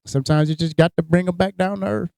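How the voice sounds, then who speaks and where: A man's voice: high-pitched, fast talker, creaky, clean tone, dry recording, clean studio sound. A man co-hosting a podcast, telling crude, joking stories in a casual chat. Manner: crude, joking, animated storytelling. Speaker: podcast co-host.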